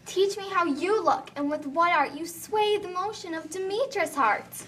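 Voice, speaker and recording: A rejected, pining young maiden in an amateur Shakespeare puppet show, played by a woman. Her voice is extremely high and sharp, pleading and melodramatic, caught slightly off-mic with faint noise. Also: normal speed. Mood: envious, pleading. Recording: distant, slightly noisy amateur recording.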